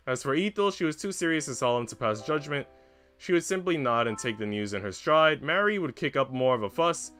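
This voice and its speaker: A man's voice: mid-range voice, fairly bright tone. A man reading aloud as the narrator of a period romance visual novel. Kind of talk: read-aloud story narration.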